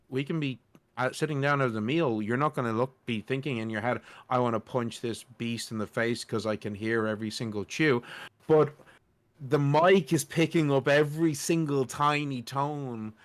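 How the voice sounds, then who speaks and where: A man with a naturally loud voice, chatting casually and ramblingly in a podcast roundtable about editing. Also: medium pitch. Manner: chatty, rambling, with self-deprecating asides. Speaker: podcast host and editor.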